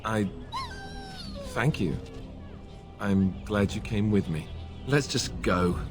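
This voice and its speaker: A man's voice: fairly low pitch, expressive, slow pace, over music. A man playing an earnest, awkward young warrior prince in a video game, speaking hesitantly and sincerely. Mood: hurt, dejected.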